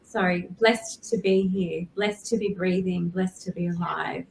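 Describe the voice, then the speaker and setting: Woman from Australia, guest coach, slow earnest delivery, roomy echo. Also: lowish voice, fairly even intonation, creaky, slight background noise. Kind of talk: interview answers.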